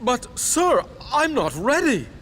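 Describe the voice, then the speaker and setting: A man's voice: very high-pitched, very animated, slow, fairly bright tone, slight background noise, exaggerated voice. A man voicing a vain, anxious steam engine who is easily embarrassed, in a children's cartoon, proud and fretful in manner. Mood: upset. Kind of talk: children's cartoon character acting.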